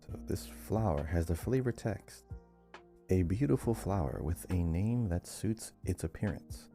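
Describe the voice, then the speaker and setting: A man co-hosting a casual gaming quiz podcast, reading questions out in a measured, deliberate way. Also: low-pitched, animated, mellow, faint music behind. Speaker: podcast co-host and quiz reader. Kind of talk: casual video game lore quiz podcast.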